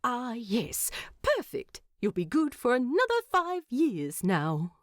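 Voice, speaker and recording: A woman acts out a wry, weary male hobbit in a fantasy tale. He is fussy and teasing, scoffing in a dry way. His pitch moves about a lot and the pace is unhurried. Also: huge pitch swings, dry recording, full character voice. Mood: dry sarcasm. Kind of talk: fan fiction narration with character voices.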